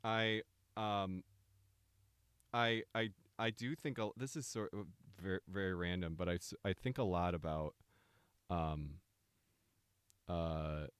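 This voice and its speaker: A deep-voiced man chatting on a live stream, stumbling through his thoughts with ums and restarts. His low voice is smooth and resonant. It comes through dry and close to the mic. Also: no room sound. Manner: hesitant, stumbling, many fillers. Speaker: stream co-host. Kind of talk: casual chat.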